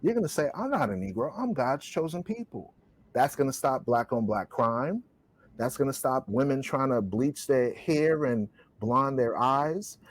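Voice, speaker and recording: A man's voice: medium pitch, animated, measured pace, low-quality recording. A man speaking in an impassioned, preacher-like podcast discussion, stressing his points with rhetorical questions and scripture. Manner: emphatic, rhetorical, quotes scripture. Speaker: podcast co-host.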